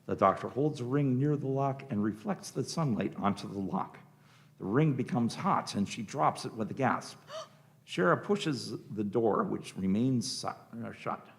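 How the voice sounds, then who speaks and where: Male narrator for radio drama, gravelly and lively, scripted stage directions. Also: mid-range voice, unhurried.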